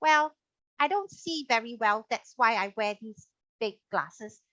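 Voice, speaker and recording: Bubbles, a woman doing a squeaky cheeky lamb puppet. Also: expressive, pushed and bright, no echo. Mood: storytelling. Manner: playful, quick and squeaky. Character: cheeky young female lamb puppet with big glasses. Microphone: video call. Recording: dull lo-fi video call audio.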